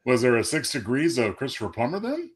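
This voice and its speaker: Husky man co-hosting a casual show. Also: mid-range voice, sing-song, fast. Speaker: co-host of a live web show. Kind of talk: casual chat.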